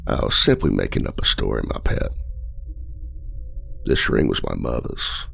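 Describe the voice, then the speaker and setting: A man's voice: extremely low pitch, sing-song, moderate pace, creaky, a bit bright, heavily acted. An actor playing a charming, manipulative male outlaw and escape artist, with a flirty, teasing and smugly condescending manner in an ASMR roleplay.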